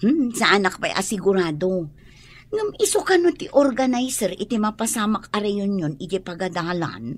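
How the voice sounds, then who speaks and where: A woman's voice: medium pitch, sing-song, normal speed. A woman with a Filipino accent playing a playful, gossipy friend in a radio drama.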